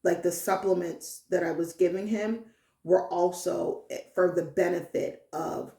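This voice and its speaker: A woman's voice: fairly low pitch, steady tone, measured pace, creaky, mellow, reverberant. A woman telling a personal story in a casual interview, earnest and emphatic, often checking in with "right?". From Haitian. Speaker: guest, vegan caterer and chef.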